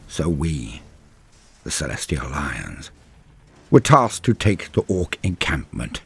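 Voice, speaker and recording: A solemn, embittered superhuman warrior acted by a male narrator recounts battle in theatrical first person. The voice is low and husky, swinging widely between hushed gravity and proud defiance. Pauses fall heavily, as in a dramatic audio story. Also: exaggerated voice. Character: grave, embittered male superhuman space-marine veteran recounting a massacre.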